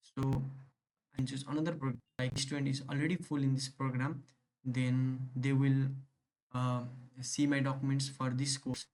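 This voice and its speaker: A man's voice: mid-range voice, level tone, moderate pace, darkish tone, low-quality recording. A young man from Nepal, a native Nepali speaker, talks in English with a Nepali accent, walking through an online application step by step with many fillers and restarts. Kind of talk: screen-recorded tutorial.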